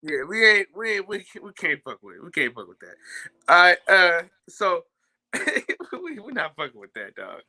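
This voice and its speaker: A young man hosting a casual live-stream chat, loudly shouting out viewers by name. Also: high-pitched, big pitch swings, normal speed. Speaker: podcast host.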